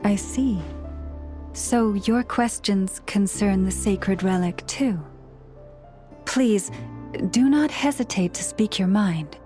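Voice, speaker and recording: An actress playing a calm, dignified young priestess in a game, speaking in a formal, gracious, measured manner. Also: female voice, medium pitch, soft background music, close to an everyday voice. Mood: solemn, sincere. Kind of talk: game voice acting.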